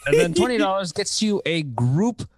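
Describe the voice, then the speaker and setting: A man hosting a live play reading in casual chat, often halting as he searches for his words. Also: high-pitched, very animated. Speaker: host of a live charity radio play reading. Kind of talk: casual group chat and announcing.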